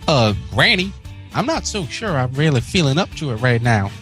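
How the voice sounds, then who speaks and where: Man doing a raised-pitch flustered country mare. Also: sing-song, normal speed, a bit bright, soft background music. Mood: flustered, hesitant. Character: flustered adult female farm pony, big sister.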